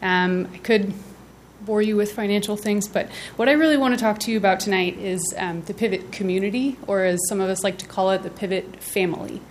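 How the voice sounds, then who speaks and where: A woman's voice: medium pitch, fairly quick, slight background noise. A woman, a school business officer, giving a composed, personable prepared address to a school board.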